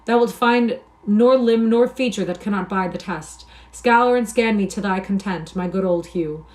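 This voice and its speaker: An eager young soldier back from the wars, read by a woman, pouring out old-fashioned, impassioned speech in a voice of middling pitch. It comes from a storybook reading. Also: normal speed, darkish tone, natural voice, rough recording. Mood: elated. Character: eager young male soldier returning home.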